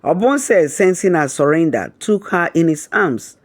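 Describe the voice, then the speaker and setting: A man narrating a scripted romantic folktale in a measured, dramatic storybook manner. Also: on the high side, expressive, dry recording.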